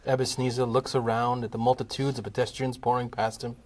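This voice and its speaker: A man narrating the stage directions of an amateur comedy radio play in a plain, steady reading voice. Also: medium pitch, fairly quick.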